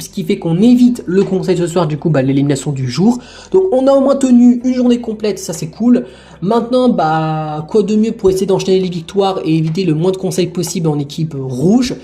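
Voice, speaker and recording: A performer voices a young man contestant in a homemade toy-figure adventure. He rambles on with relief in a mellow tone, and faint music sits behind him. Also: mid-range voice, natural voice. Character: young man, 21, streamer and narrator.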